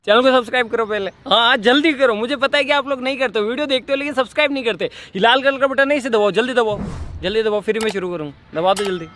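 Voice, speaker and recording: A woman's voice: on the high side, fast, a bit bright, a little hiss or hum. A woman giving an insistent, nagging subscribe plug in a playful, bossy way.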